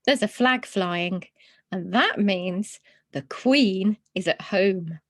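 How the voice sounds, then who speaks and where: A woman voicing a kindly, knowledgeable older gentleman in a children's storybook, explaining things in a measured, courteous way. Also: mid-range voice, dry recording, clean studio sound. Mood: pleased.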